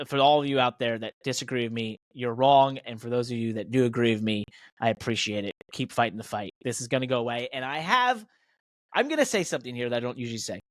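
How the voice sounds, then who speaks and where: Male American motorsport podcaster, mid-pitched voice, opinionated and emphatic in casual chat, very dry recording. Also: fast talker, no echo. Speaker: podcast co-host and professional racing driver.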